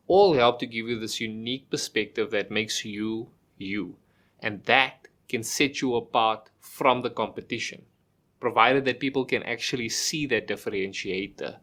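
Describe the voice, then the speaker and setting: A man's voice: on the low side, fairly bright tone. Man explaining with examples. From South Africa. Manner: explains with everyday examples, frequent restarts and rhetorical questions. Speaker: podcast guest. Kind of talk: casual podcast conversation.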